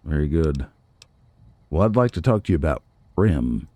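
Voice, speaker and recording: A man's voice: rumbling low, very animated, normal speed, warm tone, no room sound. A man giving casual, playful gameplay commentary, talking back to characters in a theatrical way. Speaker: let's play host.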